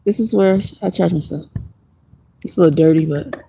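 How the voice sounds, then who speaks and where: A woman's voice: lowish voice, moderate pace, vocal fry, heavy background noise. A woman in her mid-thirties chatting casually on a livestream from outdoors in the woods, laughing often and teasing her viewers. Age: 30s. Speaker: vlogger.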